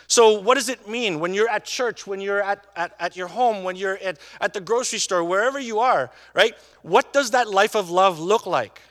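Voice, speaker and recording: A man with a high voice preaches to his congregation. He keeps it conversational, checking in with tag questions and restarting his sentences. The sound rings with the long reverb of a church hall. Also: normal speed, hardly any pauses, fairly bright tone. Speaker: pastor. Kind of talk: sermon.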